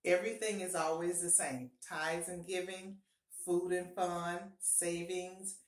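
A woman's voice: fairly low pitch, fairly even intonation, unhurried. Encouraging woman in an echoey bathroom. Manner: upbeat, encouraging, repeats key advice. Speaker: personal finance vlogger. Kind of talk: casual instructional vlog. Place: small home bathroom, indoors. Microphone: fixed camera at close range.

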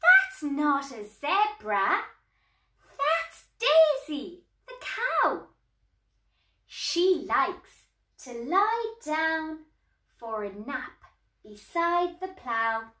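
A woman puts on a female storybook owl, firm and reassuring. The voice is high and forceful, stretching words slowly for emphasis in an echoey room. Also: big pitch swings, full character voice.

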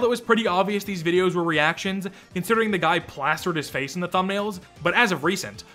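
Animated, sharp-voiced young man ranting sarcastically. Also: a little high. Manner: snarky, exasperated, freely swearing. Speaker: YouTube commentator. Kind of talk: sarcastic video commentary with ranking verdicts.

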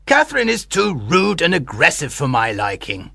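A man as a creaky, ironical old suitor. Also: on the high side, huge pitch swings, moderate pace, pushed and bright, no room sound, clean studio sound, exaggerated voice. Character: rich old suitor, ironical, wanting a quiet life. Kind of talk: acted audiobook dialogue.

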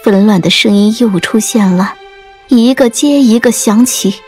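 A woman reading a dramatic audiobook story in a measured, literary storytelling style. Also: on the high side, a little mellow. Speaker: audiobook narrator. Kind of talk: dramatic scripted storytelling narration. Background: faint music.

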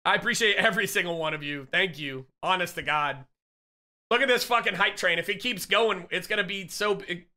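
Excitable, bright-voiced streamer losing his voice. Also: sits high, brisk. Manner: loud, excitable, profane shout-outs to chat. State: losing his voice, getting sick. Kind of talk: casual live-stream chat. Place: home streaming room. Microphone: headset.

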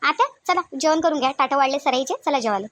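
Village family woman played by a female actor, cartoon drama. High, brisk, colloquial Vidarbha Marathi accent, dry close sound. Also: lively intonation, a bit bright, dry recording. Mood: gossipy. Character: women of a village family: shy bride, chatty sisters-in-law, scolding aunt.

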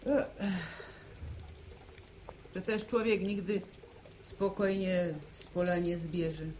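A woman's voice: fairly low pitch, darkish tone, slight background noise. An actress playing a tired, practical middle-aged farm mother who sighs and grumbles, in a vintage radio drama. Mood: weary. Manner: sighing, complaining, brisk. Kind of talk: 1950s radio drama acting.

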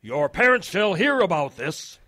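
A man as pompous wartime officer, high voice, very animated, dismissive, scolding, radio drama, dry sound. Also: measured pace, no echo, heavily acted. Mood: stern, demanding. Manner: pompous, scolding, barking orders. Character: pompous, dismissive older Home Guard officer.

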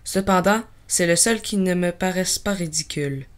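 A woman voicing a gentle, curious little boy prince in a storybook dramatization, earnest and innocent. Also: fairly low pitch, normal speed, close to an everyday voice. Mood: wistful, thoughtful.

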